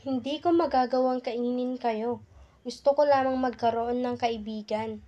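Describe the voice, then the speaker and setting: A woman voicing a gentle, lonely bear in a puppet show. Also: on the high side, steady tone, normal speed, lightly acted. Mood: gentle pleading.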